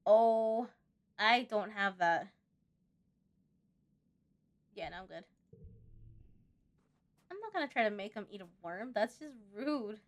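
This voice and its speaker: A woman's voice: mid-range voice, lively intonation, slow pace, long pauses. A woman hosting a casual let's-play, reading game text aloud and breaking off into chatty asides. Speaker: host of a gaming channel.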